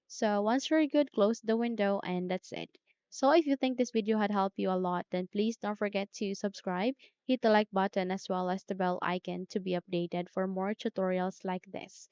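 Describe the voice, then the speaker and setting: A woman hosting a software tutorial, talking through each step in a repetitive, instructive way and checking in with "okay?". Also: mid-range voice, steady tone, normal speed, clean tone, no echo, dull audio. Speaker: tech tutorial host. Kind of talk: screen-recorded software tutorial.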